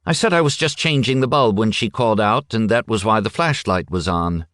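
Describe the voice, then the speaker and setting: A man reading an audiobook and voicing an anxious, nervous middle-aged village wife who talks in hesitant, hurried bursts. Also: fairly low pitch, lively intonation, fairly bright tone, no room sound, clean studio sound, voice pushed up. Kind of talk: audiobook character voice.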